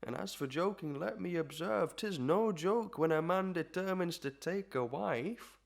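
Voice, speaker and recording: A man playing a pompous, lovestruck parish official in a Victorian melodrama, grandiloquent and mock-poetic, often clearing his throat. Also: high-pitched, animated, a little slow, exaggerated voice. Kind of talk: Victorian melodrama audio acting.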